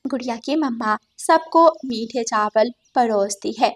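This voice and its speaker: A woman's voice: a little high, unhurried. A woman narrating a scripted cartoon story as the storyteller.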